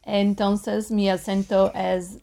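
A woman's voice: mid-range voice, level tone, slow pace, creaky, dark tone. A woman from Brazil, a psychologist and guest, answering interview questions with a Brazilian Portuguese accent, hesitating as she searches for words. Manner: hesitant, with fillers and restarts while searching for words.

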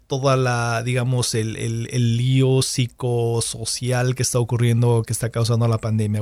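A man's voice: medium pitch, a little mellow, dry recording. A man hosting an interview, reading a scripted introduction and then asking questions in two languages in turn. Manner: switches between Spanish and English, repeats questions in both. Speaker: host. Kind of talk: bilingual radio interview.

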